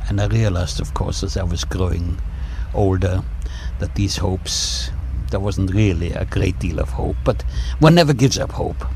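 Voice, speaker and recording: A man in his seventies giving a personal testimony in a radio interview, speaking in a reflective, measured way with frequent pauses. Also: lowish voice, creaky, darkish tone, a little hiss or hum. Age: 70s.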